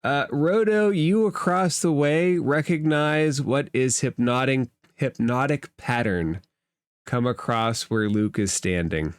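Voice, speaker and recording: A man narrating a tabletop roleplaying game as game master, explaining rules and addressing the players in a measured way. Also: mid-range voice, clear, resonant tone, no room sound, studio quality.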